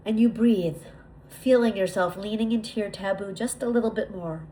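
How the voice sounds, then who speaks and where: A woman leading a guided visualization, speaking to the listener in a deliberate, repetitive way with lingering pauses. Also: mid-range voice, warm tone, slight background noise, low-quality recording. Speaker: guided meditation leader.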